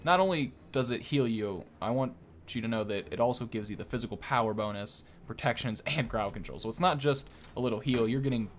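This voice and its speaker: A man's voice: medium pitch, a little fast, a little hiss or hum. A young man hosting a gaming video with casual, informal commentary, often thinking aloud as he plays.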